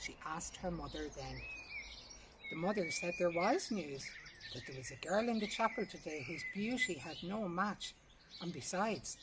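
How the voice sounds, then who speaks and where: Low-pitched Irish woman storyteller, noisy background. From Ireland. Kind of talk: reading a folk tale aloud. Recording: clear background noise with birdsong.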